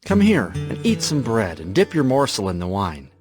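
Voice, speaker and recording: A kindly older landowner, a man of faith and authority. His delivery is solemn and gentle with a very lively melody. Music plays under this biblical drama performance. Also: lowish voice, moderate pace. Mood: kind, reassuring. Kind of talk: dramatized scripture audiobook acting.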